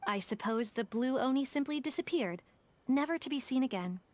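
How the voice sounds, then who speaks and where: A woman's voice: high-pitched, expressive, brisk, heavy background noise. A woman voicing a shrewd, self-assured detective for hire in a video game, articulate and businesslike. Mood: matter-of-fact. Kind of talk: English video game voice acting, explanatory dialogue.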